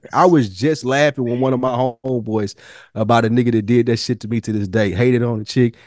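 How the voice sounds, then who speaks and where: A man with an American accent chatting casually as a podcast co-host, telling things in a slangy, profane, animated way. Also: medium pitch, a little fast, dry recording, clean studio sound. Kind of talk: casual TV review podcast chat.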